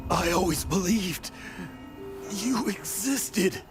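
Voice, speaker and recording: Slow, broken old adventurer. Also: male voice, high-pitched, animated, loud music behind the voice. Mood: awed. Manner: halting, melodramatic. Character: old male washed-up adventurer, drunken, sorrowful, emotional. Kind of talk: dramatic game character dialogue.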